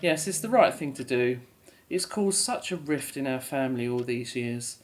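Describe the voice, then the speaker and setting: A woman's voice: a bass voice, lively intonation, normal speed, a little mellow, slight background noise. An actress playing a weary, remorseful middle-aged mother in an audio drama, speaking in a grave, resigned way.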